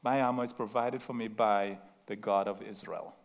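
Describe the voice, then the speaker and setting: A man's voice: on the low side, steady tone, moderate pace. Trinidadian man preaching, chatty and playful. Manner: chatty, laughing at himself, asks the room "right?". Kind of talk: sermon with asides and stories.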